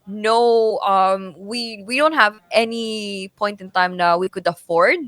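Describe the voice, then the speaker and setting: A woman with a Filipino accent casts a competitive game live. Her pitch sits in the middle for a woman, and she breaks plays down analytically, slipping between English and Tagalog. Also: slow, fairly bright tone, dry recording. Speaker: esports shoutcaster.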